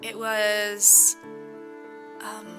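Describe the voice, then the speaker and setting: A woman's voice: medium pitch, monotone, drawn-out words. A woman playing a friendly, secretive small-town reporter who rambles through her stories in an improvised audio drama. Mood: chatty, confiding. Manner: chatty, rambling storyteller. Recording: music bed behind the voice.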